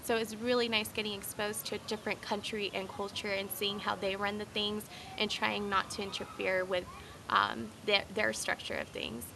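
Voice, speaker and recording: A woman's voice: mid-range voice, level tone, normal speed, gravelly. An American woman from California, a student volunteer, reflecting casually in an interview. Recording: faint background noise.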